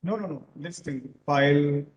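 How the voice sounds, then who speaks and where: A man from Punjab with a Punjabi accent, giving an online lecture over a video call and pausing often with fillers. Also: on the high side, lo-fi sound, slight background noise. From Punjab, India. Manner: hesitant, many filler pauses. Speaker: guest lecturer.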